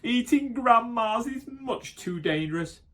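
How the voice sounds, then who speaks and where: A greedy storybook wolf pretending to be a sweet grandma, performed by a man reading aloud. He croons and stretches his words theatrically. The pitch swoops widely. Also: lively intonation, unhurried, a bit bright, exaggerated voice. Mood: moaning, pained.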